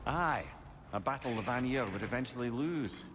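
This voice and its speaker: An actor playing a wise, worldly older companion in a video game, wry and brotherly, measured and expressive, with noise behind. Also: male voice, mid-range voice, lightly acted. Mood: wry, gentle. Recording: noisy ambient game scene.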